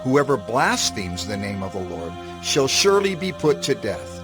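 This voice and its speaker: A man giving a solemn, measured, declarative reading of scripture in a dramatized production, with music behind him. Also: medium pitch, sing-song. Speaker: scripture reader. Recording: music under the voice.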